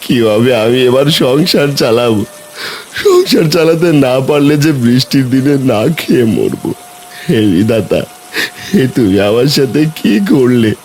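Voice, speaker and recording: A man's voice: medium pitch, lively intonation, a little slow, darkish tone, a little hiss or hum. A man voicing a poor, kindly, anxious village farmer, earnest and lamenting, in a cartoon fairy tale. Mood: despairing.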